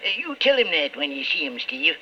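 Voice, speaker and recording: An actor playing a small, wizened, sickly political boss, folksy and demanding, in old-time radio drama style. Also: male voice, on the high side, sing-song, brisk, sharp tone, heavily acted. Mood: folksy, sly. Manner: folksy, wheedling, blustering. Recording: dull, hissy old radio recording.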